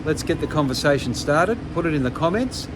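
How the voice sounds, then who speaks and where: An older man vlogging casually to camera, pausing often with ums and restarts. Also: fairly high pitch, lively intonation, background noise. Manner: hesitant, many ums and restarts. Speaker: travel vlogger.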